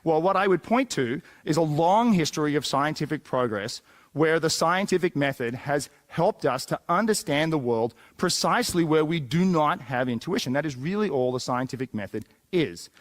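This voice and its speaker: A man's voice: a little high, lively intonation, moderate pace. A man giving a prepared talk, earnestly arguing for an idea he advocates. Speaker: speaker.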